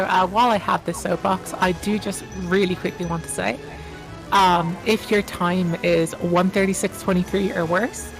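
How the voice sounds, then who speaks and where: A woman's voice: lowish voice, poor audio. A woman giving casual, joking live commentary on a video game speedrun in a chatty, playfully mock-dramatic way. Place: live online stream commentary, game audio behind the voice.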